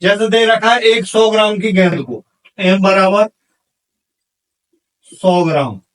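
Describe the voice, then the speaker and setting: Male teacher, high voice, unhurried delivery, instructional question-and-answer style, worked problems. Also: far from the mic. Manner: instructional, asks questions and answers them himself. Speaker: physics teacher for exam candidates.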